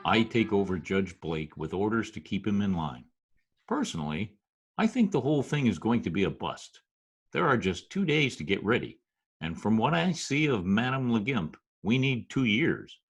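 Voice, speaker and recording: A man playing a wisecracking Broadway hustler who narrates his tale in old-time radio drama style, full of street slang and deadpan turns. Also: fairly low pitch, moderate pace, slightly rough, heavily acted. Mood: wry. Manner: folksy present-tense slang, deadpan storytelling. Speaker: narrator and supporting character. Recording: music behind, home video-call radio play.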